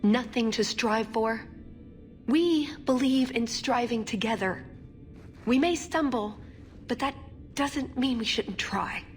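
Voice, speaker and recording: A young female elven agent from a fantasy game, high in voice. She is flippant and wry, yet earnest about her cause, with soft music behind her. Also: slow pace, pauses often. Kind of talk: video game voice acting.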